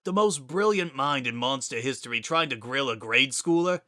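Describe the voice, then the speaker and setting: A bright, clear-cut voice for a wisecracking skeleton acted by a man. He talks in casual slang, teasing but fond, like an easygoing joker in a dramatized fantasy story. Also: mid-range voice, animated, normal speed, dry recording, clean studio sound. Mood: teasing, reassuring. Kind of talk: dramatic reading of fan fiction.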